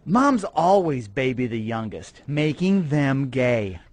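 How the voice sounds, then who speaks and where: A man's voice: mid-range voice, sing-song, slow pace, background noise. A man playing a naive, straight-laced skeptic in an educational cartoon, doubtful and argumentative. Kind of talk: scripted cartoon dialogue.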